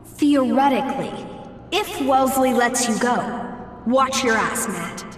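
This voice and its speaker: Wry game sidekick lady, highish voice, echoey and off mic. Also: expressive, unhurried. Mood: wry, concerned. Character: dry, knowing female ally of action hero. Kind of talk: video game cutscene acting. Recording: distant, echoey hall, faint noise.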